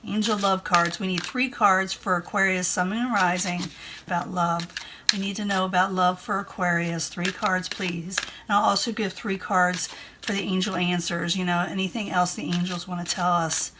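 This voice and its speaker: A woman's voice: lowish voice, fairly even intonation, normal speed, noisy background. A woman doing a casual tarot reading, chatty and reassuring, who says she is losing her voice. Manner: chatty, reassuring, repeats herself. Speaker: tarot reader.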